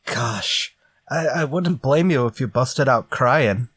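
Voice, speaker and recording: A man's voice: medium pitch, lively intonation, slow, fairly bright tone, husky, no room sound, studio quality, heavily acted. A man playing Stretch, a dim, good-natured teenage schoolboy with earnest delivery in an old radio sitcom style. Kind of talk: 1940s radio sitcom acting.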